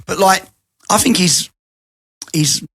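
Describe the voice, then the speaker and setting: A man's voice: sits high, slow, fairly bright tone, slightly rough, no echo, clean studio sound, soft background music. A middle-aged man co-hosting a casual podcast, jokey and teasing, laughing a lot. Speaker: podcast co-host.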